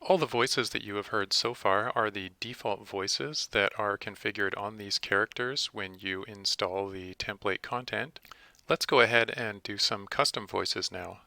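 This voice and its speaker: A man narrating a step-by-step software tutorial in a methodical, instructional manner. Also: fairly low pitch, a little slow, vocal fry, no echo.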